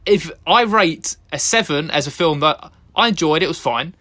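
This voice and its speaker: Bright, lively man, defensive. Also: fairly high pitch, moderate pace. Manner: defensive, hedging, many restarts. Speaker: podcast co-host. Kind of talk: casual film review chat.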